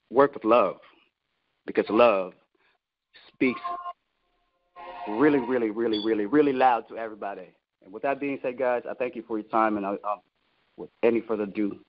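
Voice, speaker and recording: A man's voice: lowish voice, moderate pace, pauses often. Motivational man on a dull call line. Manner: exhortatory, repeats words for emphasis. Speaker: company founder and team leader. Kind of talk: motivational team call talk. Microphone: remote call. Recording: dull, lo-fi conference call audio.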